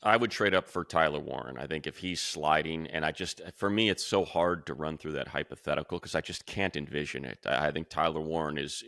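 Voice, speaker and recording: A man with a very deep voice and a bright, punchy edge talks through sports analysis. He is conversational and emphatic, rambling with asides. The sound is close and dry. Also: no echo. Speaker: sports show host and analyst. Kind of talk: casual sports analysis talk.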